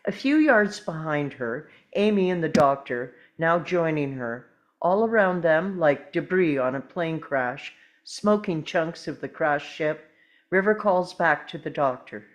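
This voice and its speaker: A woman's voice: low voice, lively intonation, a little slow. A woman narrating screen directions from a script in the present tense at a table read. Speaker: stage directions reader.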